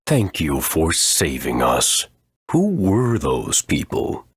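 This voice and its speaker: A man playing an aged, solemn creature elder in a video game, speaking slowly and formally with a husky rumble. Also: wildly swooping pitch, no room sound, clean studio sound, exaggerated voice. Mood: weary, grave. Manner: slow, grave, formal.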